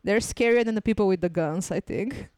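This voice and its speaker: A young woman speaks English with a clear Bulgarian accent, her voice smooth and resonant. She chats casually on a podcast and laughs often at her own stories. The studio sound is close and dry. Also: medium pitch, a little fast, no echo. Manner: laughing often, self-deprecating and animated. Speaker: stand-up comedian guest.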